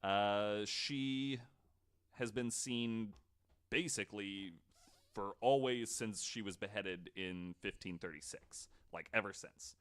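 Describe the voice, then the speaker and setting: A man's voice: fairly low pitch, slow pace, a bit bright, clean tone. A man hosting a podcast, telling stories to co-hosts in a casual, joking, informal way with some swearing. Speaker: podcast host.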